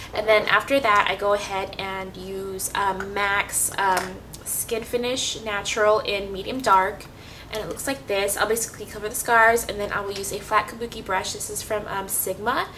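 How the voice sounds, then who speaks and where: A woman's voice: medium pitch, fairly even intonation, moderate pace, bright, forceful tone, slight background noise. Chatty woman vlogger, slightly distant mic. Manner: chatty, many ums and restarts. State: sniffly, coming down with a cold. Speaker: beauty vlogger. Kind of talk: casual makeup tutorial.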